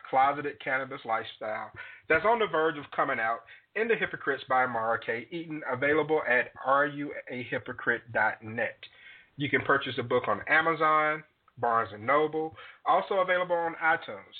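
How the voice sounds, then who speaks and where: A man hosts a radio talk show. He speaks calmly and agreeably and reads out sponsor messages. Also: mid-range voice. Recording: thin phone-line sound.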